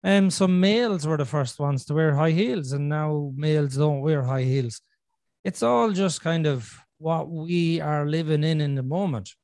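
A man, from Ireland, hosting a podcast and talking in a casual, earnest way, thinking out loud as he goes. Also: fairly high pitch, a little slow, no room sound, studio quality. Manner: earnest, thinking aloud with restarts and "you know". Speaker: podcast host. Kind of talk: casual conversational podcast chat.

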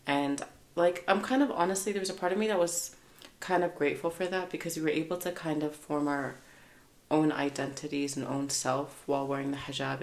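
A woman's voice: deep voice, roomy sound. A woman in her twenties from Canada, a podcast guest talking casually, chatty with frequent laughs and fillers. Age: 20s.

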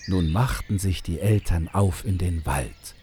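A man with a husky voice narrating a fairy tale in a measured, unhurried way. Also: sits low, warm tone, a little hiss or hum. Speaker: storyteller, narrator.

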